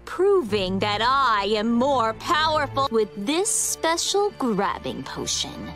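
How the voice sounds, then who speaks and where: An actress playing a gloating, scheming young sorceress villain in a children's cartoon, theatrical and boastful. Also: female voice, on the high side, big pitch swings, slow, fairly bright tone, soft background music, heavily acted. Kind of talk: animated children's cartoon acting.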